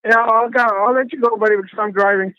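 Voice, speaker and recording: A man in his fifties from Texas, calling in from his car while driving, speaking politely in halting sentences with many restarts. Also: high voice, fairly even intonation, bright, forceful tone, no room sound. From Texas, USA. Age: 50s. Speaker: caller. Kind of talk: phone call-in. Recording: dull phone line.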